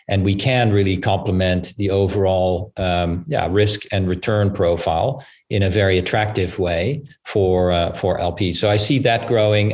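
A man speaking as an investment professional on a panel, explaining things in a measured, halting, unscripted way. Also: fairly low pitch, darkish tone. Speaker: growth equity investor, panel guest. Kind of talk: unscripted panel interview answers.

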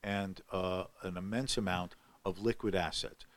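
A man's voice: sits low, fairly even intonation, a little slow. An older man telling a long story on a podcast, with many fillers and restarts. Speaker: guest scholar. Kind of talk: podcast conversation.

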